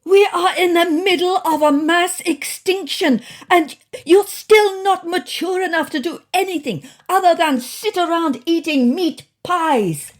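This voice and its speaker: A woman's voice: very high-pitched, a little slow, few pauses, pitched above the performer's own voice. A woman voicing a bossy, zealous young female cat activist in a storybook, proclaiming dramatically. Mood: indignant scolding.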